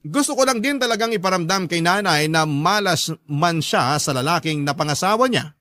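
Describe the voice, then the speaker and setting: A man's voice: high voice, lively intonation, moderate pace, pushed and bright, dry recording, clean studio sound. A man with a Filipino accent playing a devoted grown child who narrates a life story in a radio drama, earnest and sentimental. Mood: earnest, proud. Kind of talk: scripted first-person narration in radio drama.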